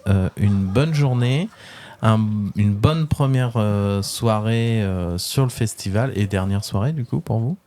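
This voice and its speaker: A man's voice: on the low side, a little slow, warm tone, soft background music. A man with a French accent hosting a casual webradio interview, hesitant and full of fillers but friendly.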